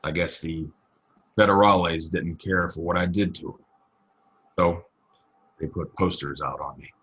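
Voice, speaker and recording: Casting: male actor as haunted Old West drifter, very low husky voice, grave and measured, audio drama. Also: fairly even intonation, fairly bright tone, full character voice. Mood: grim. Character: remorseful, vengeful wanted drifter of the Old West. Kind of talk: Western audio drama acting.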